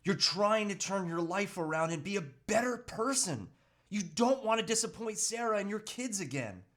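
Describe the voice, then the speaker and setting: A man's voice: sits high, animated, moderate pace, fairly bright tone. A man playing a troubled, self-pitying husband and father who earnestly resists temptation, with hesitant, pleading delivery in a script read. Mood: pleading, self-coaxing. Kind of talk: live table read of a movie script.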